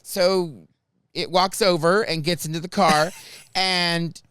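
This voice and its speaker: A man in his sixties with a high-pitched voice, hosting a comedy podcast and telling animated stories full of voice mimicry. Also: slow, smooth, no echo, clean studio sound. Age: 60s. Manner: animated, mimics passengers' voices, self-mocking. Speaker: podcast host. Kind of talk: casual comedic storytelling.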